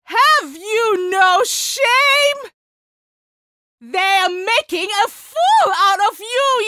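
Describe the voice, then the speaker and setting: A woman's voice: very high voice, bright, forceful tone, no room sound, clean studio sound, heavily acted. A woman voicing a towering tree-like spirit mother in a video game, doting on her daughter and shrieking at intruders, with effects layered on the voice. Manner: overprotective, shrieking, scolding. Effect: layered sound effects making the voice tree-like.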